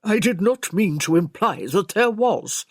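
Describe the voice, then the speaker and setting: A woman's voice: on the low side, expressive, a little slow, husky, no room sound, studio quality. A woman voicing a stiff, formal, long-serving male servant in an audiobook. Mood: stiff, defensive. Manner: formal, stuffy.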